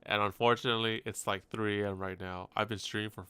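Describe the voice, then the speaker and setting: A man's voice: on the low side, flat delivery, fast, sharp tone, clear, resonant tone, dry recording. A man live-streaming a game, reacting and chatting casually in slangy, sweary, exasperated gripes into a close mic.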